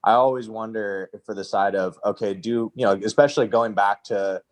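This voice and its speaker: Young man, early twenties, deep pitch, casual, roundabout, self-questioning. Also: fairly even intonation, moderate pace. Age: early 20s. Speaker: freelance copywriter asking for advice. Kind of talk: casual chat.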